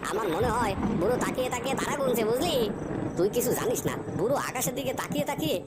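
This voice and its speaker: A woman voicing a nervous, curious young village fishing helper in a cartoon ghost story, excitable and full of questions. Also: on the high side, racing, heavy background noise, lightly acted. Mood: teasing. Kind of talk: animated ghost story voice acting.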